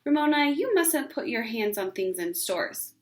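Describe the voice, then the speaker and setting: Storybook mom, read by a woman: raised pitch, brisk, firm, exasperated scolding. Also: very animated. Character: exasperated mother scolding her little girl.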